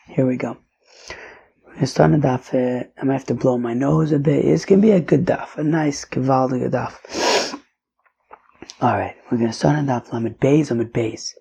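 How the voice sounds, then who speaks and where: Hoarse man teaching Talmud casually. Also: mid-range voice, darkish tone. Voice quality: raspy, drops to whispering. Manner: casual, with fillers and mixes in Hebrew and Aramaic terms. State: losing his voice, hoarse. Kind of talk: daily Talmud lesson.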